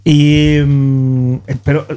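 A man's voice: medium pitch, level tone, very slow, warm tone. A man hosting a radio show, interviewing guests conversationally at a studio microphone. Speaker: radio host.

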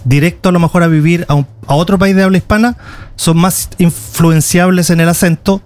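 Chilean man, tour guide guest, chatty and a bit high-pitched, lots of restarts. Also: steady tone, a little mellow. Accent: Chilean Spanish. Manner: chatty, restarts often, draws out pauses. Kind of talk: casual conversation.

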